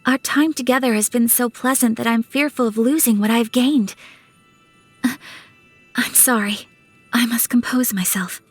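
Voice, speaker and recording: A woman's voice: on the high side, unhurried, pauses often, no room sound, faint music behind. A woman voicing a refined, dutiful young noblewoman swordswoman from a video game, formal, poised and reserved in manner. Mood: melancholy, guarded. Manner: formal, poised, polite, softly earnest. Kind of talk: video game character voice lines.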